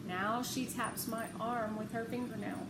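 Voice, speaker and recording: A woman reads a children's novel aloud with expressive narration. Her voice sits fairly high. The recording has a clear room echo and noticeable background noise. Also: a little slow.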